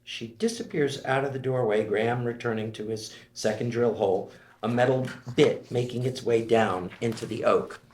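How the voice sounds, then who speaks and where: A man reads screenplay stage directions as scripted narration, setting out scenes and actions. His pitch sits in a middle range and the room around him is clearly echoey. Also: fairly even intonation, normal speed, a little mellow.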